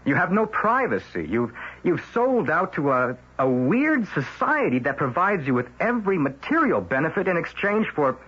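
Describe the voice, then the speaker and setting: A man's voice: on the high side, sing-song, moderate pace, breathy edge. An actor playing a brash, stubborn, suspicious male newspaper reporter in an old-time radio drama, wisecracking and insistent. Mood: indignant. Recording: dull vintage radio recording.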